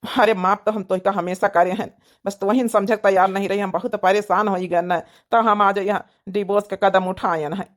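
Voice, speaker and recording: Aggrieved cartoon husband, voiced by a woman, medium pitch, earnest, Awadhi accent. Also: fairly even intonation, normal speed, lightly acted. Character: aggrieved village husband and father, earnest, self-justifying.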